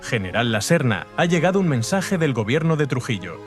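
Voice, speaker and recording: A dutiful military aide played by a male actor makes an official report in a historical drama. His mid-pitched voice is very lively in intonation, with music underneath. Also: fairly quick, natural voice. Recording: music behind the voice.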